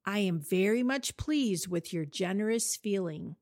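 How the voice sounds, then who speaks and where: A man voicing a gracious fairy lady in a storybook reading, with slow soft pronouncements. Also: sits high, animated, dry recording, clean studio sound, voice pushed up.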